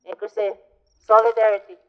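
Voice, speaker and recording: A woman gives a halting, deliberate rally speech. Her voice is smooth and clear with little pitch movement, heard through narrow, phone-like audio. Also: little pitch movement. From northern Manitoba, Canada. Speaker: activist speaker. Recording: muffled, phone-like event recording.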